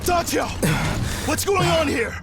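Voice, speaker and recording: High-voiced, hot-headed young warrior. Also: male voice, expressive, a little slow, gravelly, loud music behind the voice, full character voice. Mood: desperate alarm. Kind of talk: dramatic video game cutscene acting.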